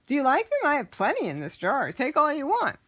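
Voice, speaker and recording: A woman's voice: sits high, huge pitch swings, fairly quick, pitched above the performer's own voice, full character voice. A woman voicing a clever, bold man who teases and outwits goblins in a storybook reading. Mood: teasing. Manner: bright, confident, teasing.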